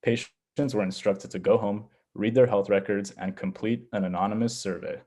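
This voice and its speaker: A man's voice: on the low side, steady tone, normal speed, dark tone. A man giving scripted explanatory answers as a panelist on a health education program.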